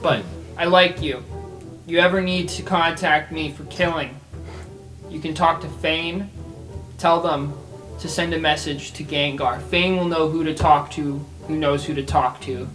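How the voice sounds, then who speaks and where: Unhurried mercenary, a man acting, blunt and halting, friendly underneath, quiet music bed. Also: a little high, fairly even intonation. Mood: gruffly friendly. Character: blunt male mercenary killer, gruffly friendly. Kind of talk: improvised tabletop roleplay.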